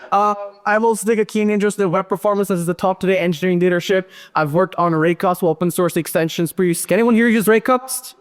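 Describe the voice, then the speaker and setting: A man in his thirties giving a lively, joking tech conference talk from a large hall stage. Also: high-pitched, fast, clean tone. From Pakistan and Switzerland. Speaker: conference speaker. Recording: hall reverb.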